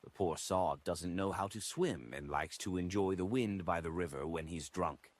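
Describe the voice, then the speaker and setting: A man's voice: sits low, normal speed. A man voicing a rambling, droning drunkard in an audiobook, sighing over a poor friend. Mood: rambling, rueful. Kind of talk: audiobook character dialogue.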